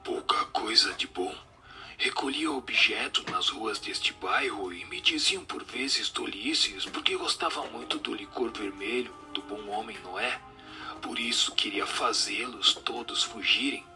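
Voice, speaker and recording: An actor in a radio drama playing the merry, mischievous spirit of a dead street ragpicker, with playful, breathy delivery. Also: male voice, on the low side, measured pace, pushed and bright. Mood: wistful. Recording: music under the voice.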